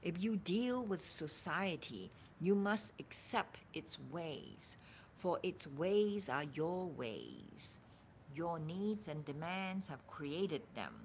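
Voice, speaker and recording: A woman with a slightly low voice reads spiritual text aloud in an informal, deliberate way. Her pitch moves around freely while her pace stays unhurried, with halting little pauses. Background noise sits under the recording. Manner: hesitant, halting asides, deliberate expressive reading. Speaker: spiritual teacher reading a book aloud.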